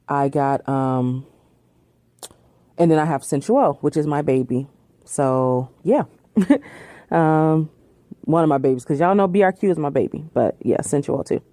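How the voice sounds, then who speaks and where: A woman hosts her podcast alone, answering questions in a loose, chatty way full of ums and easy laughter. Her voice sits low and warm. The sound is close and dry. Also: no echo. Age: 30s. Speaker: podcast host. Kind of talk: casual solo Q&A chat.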